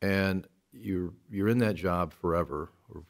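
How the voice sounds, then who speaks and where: A man hosting a talk show interview in a conversational way, thinking aloud in halting, broken phrases. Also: low-pitched, vocal fry.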